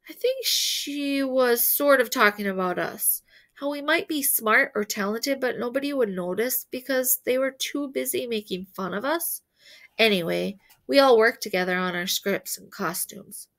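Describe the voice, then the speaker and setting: A woman reads a children's novel aloud in a bright, slightly high voice. She tells it expressively and acts out the characters as she goes. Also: moderate pace. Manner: animated, acts out characters' dialogue. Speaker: read-aloud storyteller.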